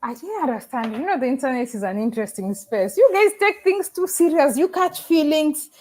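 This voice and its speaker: A woman's voice: high voice, big pitch swings, fairly quick, a little mellow. A woman from Africa who lives in the Caribbean, chatting casually on a live stream. She reads viewer comments and talks to them directly, often restarting her sentences. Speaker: YouTuber livestreaming and reading chat. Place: living in the Caribbean.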